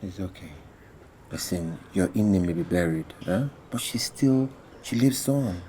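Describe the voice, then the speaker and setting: A man voicing a kind adult uncle who calms a crying child in a soft, hushed way. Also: sits low, measured pace, a little hiss or hum. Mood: soothing. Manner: soothing, hushed, calming. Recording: faint music underneath. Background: music.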